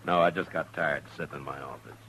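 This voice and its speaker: An actor playing a steady, stern American frontier marshal in a radio western, speaking in a measured, firm, understated way. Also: male voice, very deep voice. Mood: easygoing, wry. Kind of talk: 1950s radio western acting. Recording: lightly noisy old radio recording.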